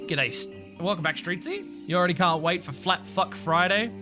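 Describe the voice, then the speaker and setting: Aussie guy streamer, highish bright voice, sweary casual chat over a game. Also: normal speed, faint music behind. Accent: Australian. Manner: expressive, sweary. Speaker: livestreamer playing a game. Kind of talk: casual live game commentary, chatting with viewers.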